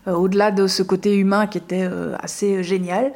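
A woman's voice: medium pitch, little pitch movement, a little fast, darkish tone. A woman who is a workshop organizer, explaining earnestly with frequent hesitations. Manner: earnest, many fillers.

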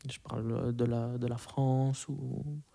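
A young man in his early twenties who grew up in Belgium with Arabic as his first language. His voice is soft-edged and mellow. He talks casually as an interview guest, pausing to find his words. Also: mid-range voice, little pitch movement, creaky, breathy edge. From moved to Belgium at five, grew up there. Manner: hesitant, searching for words. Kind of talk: casual, reflective interview answers.

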